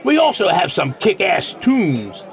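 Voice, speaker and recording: An actor playing a brash, folksy American country band leader with a Southern accent and a boastful drawl in a radio play. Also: male voice, sits high, wildly swooping pitch, a little slow, vocal fry, soft background music, a faint crowd, heavily acted. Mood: proudly boastful. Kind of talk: radio drama acting.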